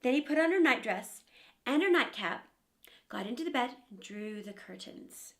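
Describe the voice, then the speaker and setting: A woman narrates a children's story with great expression. Her voice is high and moves freely up and down as she tells the tale. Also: expressive, moderate pace, fairly bright tone.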